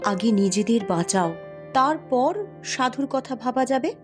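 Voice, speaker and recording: Cartoon big sister princess, firm n scolding, high voice, slow delivery. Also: female voice, animated, music in the background. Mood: firm, worried. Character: eldest princess sister, protective, quick-witted and bossy. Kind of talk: cartoon fairy-tale voice acting.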